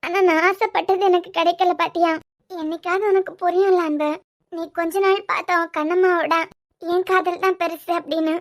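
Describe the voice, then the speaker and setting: A woman's voice: very high voice, fairly even intonation, normal speed. A woman playing a tearful young woman in love, pleading with the man she loves. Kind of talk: romantic audio drama acting.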